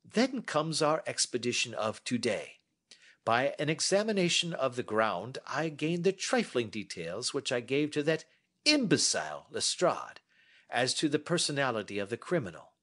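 A man's voice: mid-range voice, sing-song, normal speed, a bit bright, voice pushed up. A man reading as a brilliant, self-assured Victorian detective who explains his deductions precisely. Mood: lecturing.

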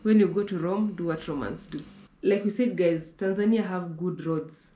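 A woman's voice: on the low side, moderate pace, warm tone. A young woman from Kenya talks through road-trip tips from a living-room sofa. She chats loosely, doubling back and repeating phrases as she goes. The room around her sounds echoey and live. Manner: chatty, repeats herself, restarts often. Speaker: travel vlogger. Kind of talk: casual conversational travel talk.

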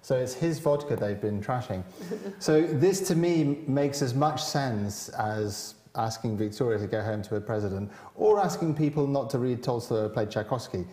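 A man's voice: medium pitch, normal speed, few pauses. A man in his forties, a musician guest, speaking thoughtfully in a panel conversation in a large hall. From Britain. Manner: thoughtful, animated with hand gestures. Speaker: cellist guest. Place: large hall with high windows. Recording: echoey hall.

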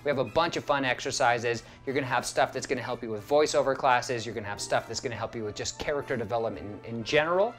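A man's voice: medium pitch, quick pace, hardly any pauses, a bit bright, faint music behind. A man in his thirties, an acting professor, giving an upbeat, encouraging lecture to camera in a studio. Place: studio stage set.

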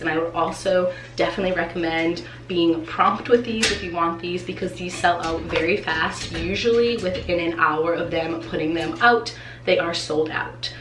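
A woman in her thirties, a teacher from New England, chatting excitedly through an unboxing with a congested, nasal voice from allergies. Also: fairly low pitch. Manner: chatty and excitable, many "like" fillers. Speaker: teacher and vlogger. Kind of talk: casual unboxing vlog. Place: small room indoors. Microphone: camera in front, seated. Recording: echoey room, faint hiss.

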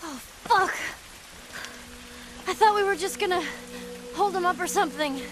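A woman's voice: very high-pitched, fast talker, over music. A woman voicing a feisty, defensive teenage girl survivor in a cinematic video game, blunt and naturalistic. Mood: panicked, defensive.

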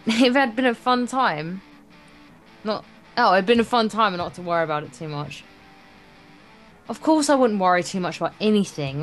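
A woman reading visual novel text aloud as a let's play co-host, dramatic and jokey. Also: a little high. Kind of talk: story read-aloud with playful banter.

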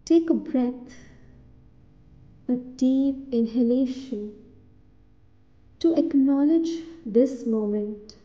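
A woman narrating a scripted guided meditation in a soothing, deliberate way, in short phrases with pauses between them. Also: high voice, dark tone, highs cut off.

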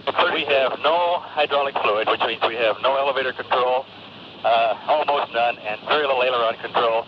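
A man's voice: medium pitch, moderate pace. A man speaking matter-of-factly as an airline pilot over cockpit radio. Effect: radio transmission. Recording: muffled, noisy cockpit radio recording.

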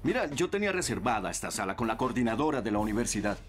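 Cartoon campus politician: young, indignant, very animated intonation, clear music underneath. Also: male voice, fairly low pitch, racing. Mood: sarcastic. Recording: music behind the voice.

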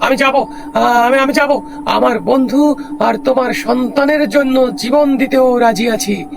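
A man voicing an excitable, loyal cartoon friend who speaks emotionally and pleadingly. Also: extremely high pitch, unhurried, gravelly, music in the background, voice pushed up, heavily acted. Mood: earnest. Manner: emotional, pleading, repeats words.

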